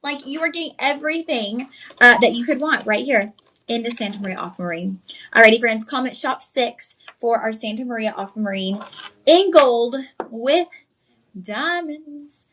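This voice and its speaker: A woman's voice: a little high, expressive, normal speed, fairly bright tone, rough recording. A young woman, hosting a live shopping stream, effusive and chatty, calling viewers y'all. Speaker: shopping channel host. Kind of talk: live-stream sales pitch.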